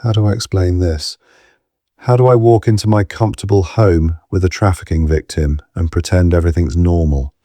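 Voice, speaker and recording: An actor playing an anxious, protective husband in an audio drama, muttering with urgency. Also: male voice, low-pitched, steady tone, moderate pace, warm tone, dry recording. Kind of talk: full-cast audio drama acting.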